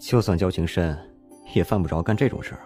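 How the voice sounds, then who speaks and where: A man's voice: sits low, fast, mellow, natural voice. A man playing a subdued, considerate young student in an audio drama, with casual, understated delivery. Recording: music under the voice.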